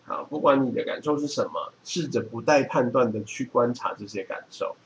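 Measured Taiwanese man reading aloud, mid-pitched. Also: a little hiss or hum. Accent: Taiwanese Mandarin. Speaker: podcast co-host. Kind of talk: reading aloud from a book with casual comments.

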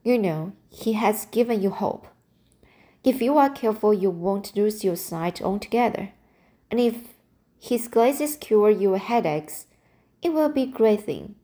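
A woman's voice: mid-range voice, lively intonation, measured pace, dark tone, natural voice. A woman reading as an anxious young girl. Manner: anxious, trying to sound brave.

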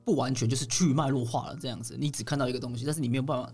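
A man co-hosting a podcast, casually discussing film in a halting, reflective way and rephrasing as he goes. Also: medium pitch, fairly even intonation, darkish tone, breathy edge. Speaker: podcast co-host. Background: background music.

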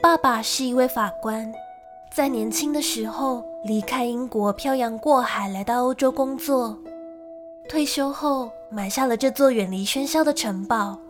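An actress playing a lonely young gentlewoman who tells of a frightening childhood in an emotive radio drama style. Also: on the high side, a little slow, over music, natural voice. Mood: wistful. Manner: storytelling, emotive.

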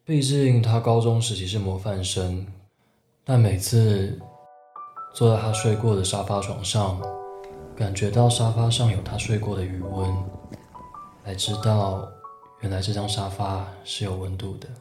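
A man acting a low-voiced, exasperated young office guy. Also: steady tone, a little slow, lightly acted. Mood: wry, confiding. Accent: Taiwanese Mandarin. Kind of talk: radio drama acting with inner-monologue narration. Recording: roomy sound with guitar music behind.

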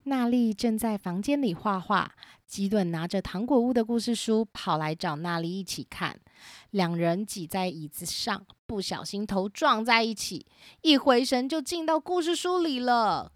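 A woman's voice: medium pitch, expressive, moderate pace, no echo, clean studio sound. A woman host narrating a scripted children's story.

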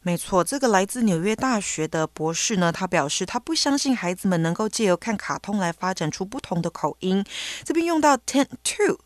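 Female co-host whose first language is Mandarin, animated delivery, dry close recording. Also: mid-range voice, brisk, hardly any pauses, dry recording. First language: Mandarin Chinese. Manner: lively explaining, switching between two languages. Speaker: English teacher co-host. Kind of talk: radio English lesson.

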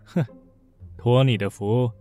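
A man voicing a cool young male company boss who teases calmly and dryly. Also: medium pitch, slow pace, natural voice. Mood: teasing. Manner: dry, teasing, calm. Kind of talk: audio drama acting.

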